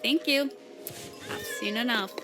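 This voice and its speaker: A woman's voice: on the high side, unhurried, lightly acted. A woman voicing a grumpy, sarcastic young guild master who sells potions, talking in a dry, unimpressed way. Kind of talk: scripted voice drama. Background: background music.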